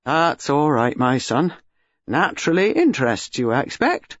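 A man puts on a hearty, benign police superintendent who speaks steadily and with a lively lilt. The sound is dull and dry. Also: sits high, a little slow, no echo, full character voice. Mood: calm. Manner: hearty, then grim.

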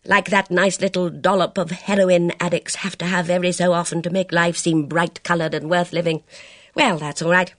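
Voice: mid-range voice, flat delivery, no echo, clean studio sound, voice pushed up, natural voice. A reader voicing a bold, reckless middle-aged woman who speaks sharply and commandingly. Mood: imperious. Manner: brisk, imperious, sharp.

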